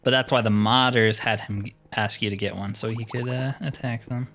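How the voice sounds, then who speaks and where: A man's voice: fairly low pitch, fairly even intonation, moderate pace, a bit bright, soft background music. A man giving casual, mildly exasperated commentary while playing a video game, thinking aloud as he goes. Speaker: gaming video host.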